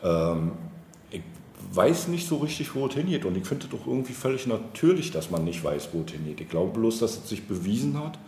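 A man with a low, dark voice answers interview questions in a reflective way. His phrasing is halting and deliberate, as if weighing each point. The room echoes around him. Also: very animated. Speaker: political candidate being interviewed. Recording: roomy, noticeable background noise.

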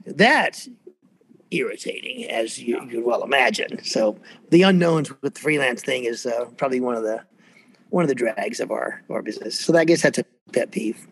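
A man with a squeaky voice, a freelance percussionist chatting casually and rambling in an interview. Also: expressive, moderate pace, slightly rough, poor audio. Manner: rambling, self-deprecating, chuckles often.

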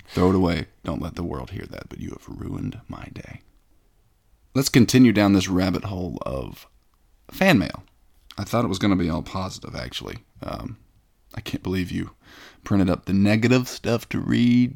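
A low, gravelly male voice fronts a comedy podcast, reading out listener mail. He sounds pompous and self-important, and he gets mock-indignant at insults. His voice has a slight rough fry to it. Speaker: podcast host. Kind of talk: comedic scripted podcast banter.

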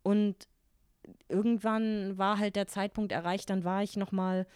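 German woman in her 40s, storytelling interview guest, mid pitch, dry close mic. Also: a little slow, clear, resonant tone, dry recording. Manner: lively anecdotes, acts out her own quoted thoughts.

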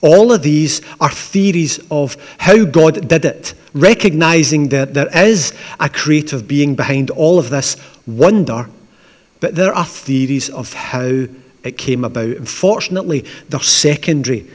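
Glaswegian pastor guy, a bit high for a man, chatty sermon w/ little jokes, big echoey church reverb. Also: expressive, unhurried. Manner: conversational, with asides and dry humour. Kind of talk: sermon with opening prayer.

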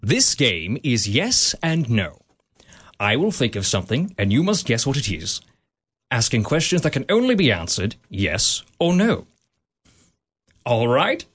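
A man's voice: mid-range voice, wildly swooping pitch, a little slow, a bit bright, slightly rough, full character voice. A man playing a merry, teasing young host. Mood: playful. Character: jolly young man hosting a parlour game. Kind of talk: 1940s-style radio drama acting.

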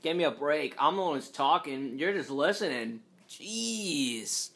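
A man talking alone into a podcast mic, his tone bright and forward despite a low, fairly monotone delivery. He rambles through restarts and filler words, dropping joking asides to the listeners. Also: unhurried. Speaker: podcast host. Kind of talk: casual solo podcast monologue.

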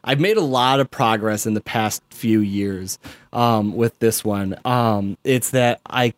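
A man's voice: lowish voice, slow, dry recording. Young guy w/ chicago accent, rambly and full of likes and ums, dry close sound. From American Midwest. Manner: rambling, heavy use of "like" and "um". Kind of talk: casual chat.

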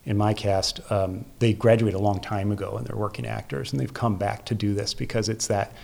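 Low-voiced man explaining his craft. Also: fairly even intonation, brisk, creaky, a little mellow. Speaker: interview guest, theatre festival curator and producer. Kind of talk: conversational interview.

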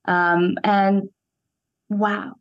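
A woman's voice: mid-range voice, little pitch movement, very slow, smooth, lo-fi sound. A young woman from Iran, raised in Canada, speaking as an actress in an interview, thoughtful and enthusiastic. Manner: enthusiastic, thoughtful, searching for words. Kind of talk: reflective interview conversation.

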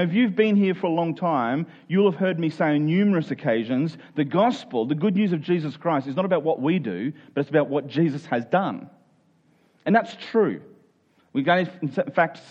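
Higher-voiced male preacher, slightly dull recording. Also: a little mellow. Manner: explanatory, repeats key phrases, checks in with listeners. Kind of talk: expository Bible teaching sermon, conversational.

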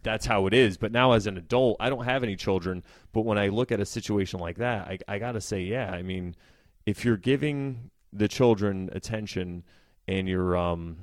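An easygoing man in his forties chats on a podcast with a low, relaxed voice. The sound is dry and close to the mic. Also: dry recording. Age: 40s. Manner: easygoing, chatty, laughs often. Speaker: podcast host. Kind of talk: casual chat.

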